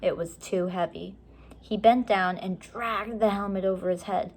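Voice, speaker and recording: A woman reading a children's chapter book aloud with measured, expressive storytelling. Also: medium pitch, husky. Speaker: story reader.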